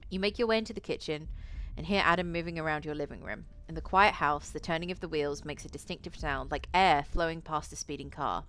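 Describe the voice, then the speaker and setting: Low-voiced woman streamer reading a story aloud. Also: brisk, hardly any pauses. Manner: sweary, excitable reactions in asides. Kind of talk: reading a visual novel aloud with casual asides.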